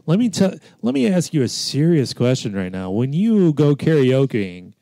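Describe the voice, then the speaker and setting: A man's voice: mid-range voice, very animated, moderate pace, creaky, warm tone, no echo. A man hosting a podcast, chatting casually and making fun of himself. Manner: self-deprecating, sighing, joking. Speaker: podcast host. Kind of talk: casual chat.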